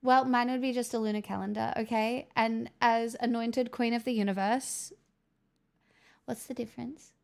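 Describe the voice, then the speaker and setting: A young woman chats casually through a livestream, answering viewers, swearing now and then and joking along the way. Her voice is smooth and clear and sits slightly higher than most. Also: steady tone, moderate pace. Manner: chatty, sweary, joking, answers chat messages. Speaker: livestream host reading chat.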